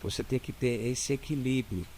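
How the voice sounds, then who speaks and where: A Brazilian lawyer talks as a guest in a casual interview. He walks through points one by one, keeps checking in with his listener, and has an ordinary middle-pitched voice. A faint ambient hum sits in the background. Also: moderate pace, darkish tone. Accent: Brazilian Portuguese. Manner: didactic, explains step by step with many "né?".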